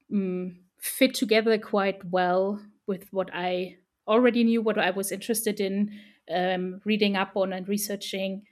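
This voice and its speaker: A woman whose first language is German, speaking in English as an interview guest about her research, thoughtful and full of ums and restarts. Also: mid-range voice. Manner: thoughtful, many fillers and restarts. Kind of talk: casual academic interview.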